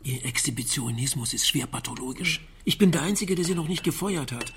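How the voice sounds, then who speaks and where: An actor playing a wry, evasive private detective in a radio drama, husky, dry and offhand in a naturalistic style. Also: male voice, medium pitch, animated, a little fast, background noise, lightly acted. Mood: dry, sardonic.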